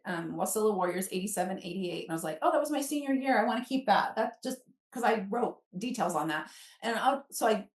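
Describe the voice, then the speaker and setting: A woman in her fifties telling personal stories in a casual, conversational way, acting out quoted asides as a guest. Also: medium pitch. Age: 50s. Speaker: guest author. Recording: roomy echo, distant from microphone.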